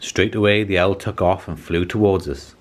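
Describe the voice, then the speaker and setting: A man reading a children's story aloud as scripted narration, in an expressive storytelling manner. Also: deep voice, moderate pace. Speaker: storybook reader.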